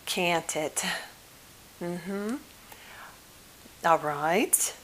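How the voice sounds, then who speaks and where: Slow gentle lady playing a polite, slightly flustered therapist for asmr, halting, bit of hiss behind her. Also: on the low side, poor audio. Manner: hesitant, halting, gently polite, with murmured acknowledgements. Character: polite, mildly flustered female psychotherapist, patient and attentive. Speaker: ASMR role-play performer. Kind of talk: ASMR role play, improvised one-sided conversation. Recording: clear background hiss.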